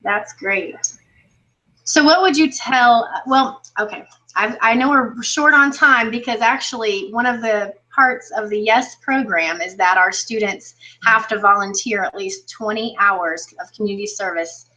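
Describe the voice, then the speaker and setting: A woman interviewing an exchange student as his coordinator, warmly praising his answers between questions. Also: mid-range voice, measured pace, rough recording. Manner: encouraging, praising, many fillers.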